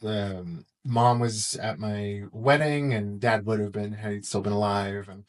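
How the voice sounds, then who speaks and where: A man's voice: on the low side, fairly even intonation, measured pace. A middle-aged American man from Texas talking casually as a podcast guest in an interview. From Texas, United States.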